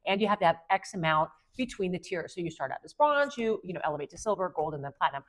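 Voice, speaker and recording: A woman's voice: lowish voice, animated, fast talker. A woman in her fifties, a guest on a podcast, telling stories in a rambling way with lots of fillers. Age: 50s. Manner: rambling, meandering storyteller with many fillers. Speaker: podcast guest and Amazon influencer. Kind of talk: casual conversation.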